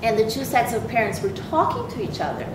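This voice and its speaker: Woman from Brazil, panelist, hesitant delivery, heard from afar in an echoey hall with rumble. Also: wildly swooping pitch, fast talker. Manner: hesitant, many fillers and restarts, laughs often. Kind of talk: panel discussion remarks. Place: large university event hall. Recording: echoey hall, distant mic, background rumble.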